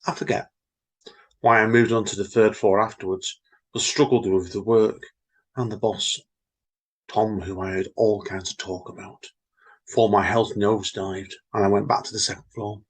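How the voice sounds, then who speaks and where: A man co-hosting a story podcast, chatting casually and reading his own poem in a slow, reflective way. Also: on the low side, flat delivery, rough recording. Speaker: podcast co-host, poet. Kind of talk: casual chat and poetry reading.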